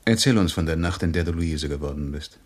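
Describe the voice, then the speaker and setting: Very deep-voiced weary investigator. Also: male voice, a little fast, no echo. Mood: gentle, quiet. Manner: terse, laconic, sombre. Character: world-weary male investigator narrating his own bitter case. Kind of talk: noir radio drama narration and dialogue.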